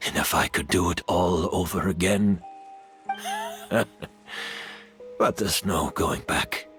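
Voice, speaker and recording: An actor playing a cold, defiant master perfumer who refuses to repent, speaking slowly and sardonically. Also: male voice, low-pitched, music in the background. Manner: slow, sardonic, unrepentant.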